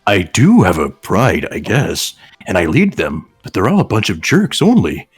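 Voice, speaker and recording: Brooding reborn lion read by a man, low register, mock-dramatic, halting fan-fiction delivery, light music underneath. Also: very animated, slightly rough, dry recording, clean studio sound. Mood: mock-serious. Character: murdered human child reborn as a brooding lion. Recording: music behind the voice.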